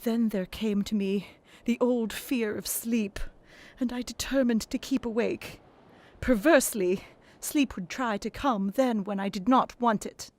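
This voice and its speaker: Expressive woman reading a gothic tale. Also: fairly high pitch, a little slow. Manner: expressive, dropping to whispers in hushed passages. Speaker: narrator. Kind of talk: dramatic first-person reading of a gothic novel.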